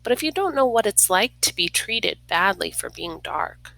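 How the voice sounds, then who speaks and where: A woman as a bright-voiced, gentle sister spirit. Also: mid-range voice, sing-song, moderate pace, gravelly, no room sound, low-quality recording. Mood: earnest, affectionate. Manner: earnest, affectionate. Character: Day and Night, gentle sister spirits reconciling.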